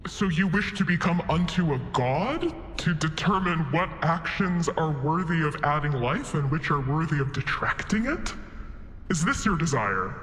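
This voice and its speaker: A man's voice: on the high side, very animated, fairly bright tone, slight background noise. A man voicing an ancient, godlike celestial creature in a tabletop game, speaking formally and with solemn patience. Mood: solemn questioning. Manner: measured, formal, questioning. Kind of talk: tabletop roleplay acting. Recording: long hall reverb, music behind.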